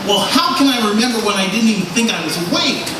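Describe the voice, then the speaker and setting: A man's voice: high-pitched, expressive, fast talker. A man playing a naive, eager young newspaper cub in an old-time radio drama, innocent and hapless. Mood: bewildered, naive. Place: live stage recreation in a large hall. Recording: echoey hall from far off, noisy.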